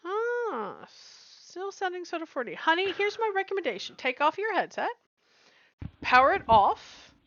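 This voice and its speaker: A woman's voice: very high voice, very animated, unhurried, a bit bright, muffled recording. An American woman co-hosting a livestream with casual, playfully dramatic banter. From US West Coast. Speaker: livestream co-host.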